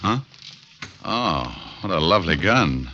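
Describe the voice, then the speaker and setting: Low-voiced, wisecracking radio detective. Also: male voice, sing-song, slow pace, heavy background noise, full character voice. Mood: cool, threatening. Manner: dry, sardonic, quipping. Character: wisecracking tough male private detective, cool and sardonic. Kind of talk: 1940s-50s radio detective acting with first-person narration. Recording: dull vintage radio recording with music.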